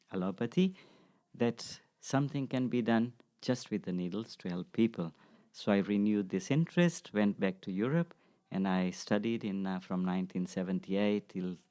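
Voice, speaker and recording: Man, 60s, low register. Interview guest speaking as a teacher, reflective and measured. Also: warm tone, smooth. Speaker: guest, acupuncture practitioner and teacher. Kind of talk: unscripted interview answers.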